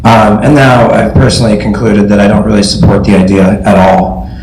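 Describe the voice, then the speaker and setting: A man with a scratchy voice, a teacher and parent, speaking at a school board meeting in a measured, halting way. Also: lowish voice, flat delivery. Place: meeting room. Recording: roomy meeting hall audio, faint noise.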